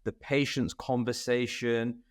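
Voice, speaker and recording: A man's voice: medium pitch, unhurried. A man who owns a dental practice, chatting on a podcast over a video call. He tells stories with energy and often ends points with "right?". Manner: animated storyteller, tag questions. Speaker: practice owner. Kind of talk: casual podcast conversation.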